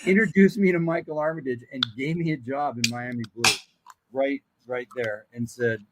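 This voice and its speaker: A man's voice: mid-range voice, moderate pace, very noisy. An older American man, a voice actor appearing as a guest, tells casual anecdotes and acts out the quoted dialogue in them.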